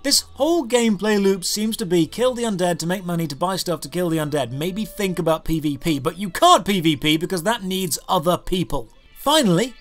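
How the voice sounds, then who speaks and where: A man delivering scripted comedic review narration in a sardonic, deadpan manner into a close microphone. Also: high voice. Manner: sardonic, dry deadpan humour. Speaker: video game reviewer, host. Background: clear background music.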